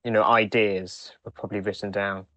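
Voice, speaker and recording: A man co-hosting a podcast in casual discussion, speaking in a halting way with frequent restarts. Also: lowish voice, little pitch movement, fairly bright tone, dry recording. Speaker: podcast co-host.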